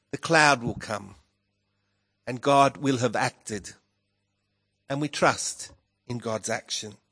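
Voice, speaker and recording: A pastor delivering a sermon in a croaky voice, measured and emphatic, rising on key words. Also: male voice, on the high side, a bit bright. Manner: slow, measured preaching with emphatic rises. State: hoarse, croaky voice with a cough.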